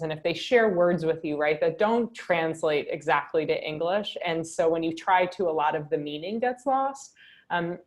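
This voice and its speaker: A woman with a fairly low voice leads a journaling workshop on a video call. She talks in an upbeat, chatty way, peppering her guidance with casual fillers. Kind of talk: guided reflection on a video call.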